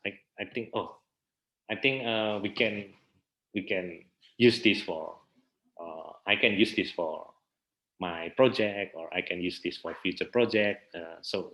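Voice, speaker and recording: A man with a fairly low voice and an Indonesian accent speaks at a very slow pace. A native Indonesian speaker, he answers casually as an interview guest, pausing often to find his words. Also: pushed and bright, poor audio. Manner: hesitant, searching for words in non-native English. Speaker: theater practitioner interview guest.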